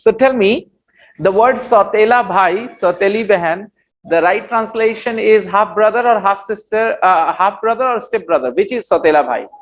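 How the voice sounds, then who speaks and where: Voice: medium pitch, normal speed, poor audio. Indian-accented English teacher explaining step by step. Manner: explanatory, repeats phrases, asks check questions. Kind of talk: spoken English lesson for beginners.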